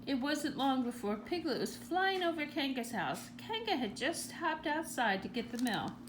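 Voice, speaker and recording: A woman reading a children's storybook aloud in an animated narrating style. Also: high-pitched, moderate pace, background noise. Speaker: storybook reader.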